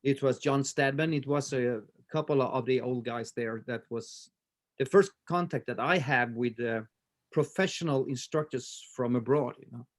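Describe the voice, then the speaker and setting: A man in his fifties with a Swedish accent, talking in a casual interview with many pauses, fillers and restarts. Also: mid-range voice. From Sweden. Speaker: martial arts instructor, guest.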